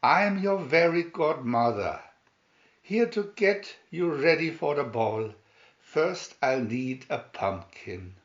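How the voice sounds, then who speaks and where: A man voicing a sweet, kindly little fairy godmother who muses gently and chants spells in a storybook tale. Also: on the high side, lively intonation, slow pace, dull audio.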